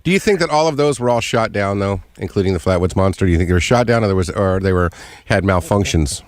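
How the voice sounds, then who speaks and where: A man's voice: low-pitched, lively intonation, fast, no room sound. A man co-hosting a radio show, putting questions in a conversational interview style. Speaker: radio co-host.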